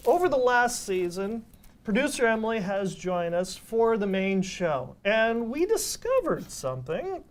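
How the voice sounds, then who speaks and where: A man hosting a business talk show, prompting his guest and introducing a segment. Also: high-pitched. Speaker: show host.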